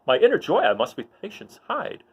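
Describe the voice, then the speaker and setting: A watchful old mentor , played by a man, delivers a careful, hopeful soliloquy. The mock-Shakespearean verse is measured yet expressively inflected, on a somewhat muffled recording. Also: high-pitched, wildly swooping pitch, husky, pitched above the performer's own voice, full character voice.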